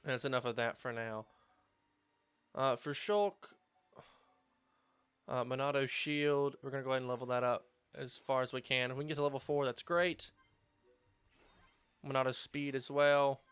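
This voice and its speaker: A slightly hoarse man hosting a video game playthrough, chatting casually with lots of fillers while standing at his home setup. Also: medium pitch, normal speed, pauses often, fairly bright tone. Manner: rambling, filler-heavy, upbeat. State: slightly hoarse after surgery. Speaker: let's play host. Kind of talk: casual gameplay commentary.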